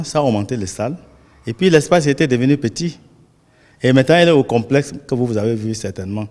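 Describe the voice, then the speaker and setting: A man's voice: mid-range voice, a little mellow, long reverb tail. A man giving a spontaneous testimonial as an interviewee.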